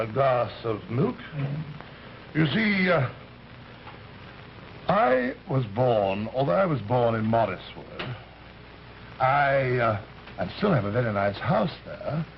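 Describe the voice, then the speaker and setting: A man's voice: on the low side, vocal fry. An actor in an old radio drama playing an urbane alien recruiter, polite and pompous, hesitating and rambling as he talks. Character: urbane, genteel alien recruiter posing as an Earthman. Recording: muffled, hissy old radio recording.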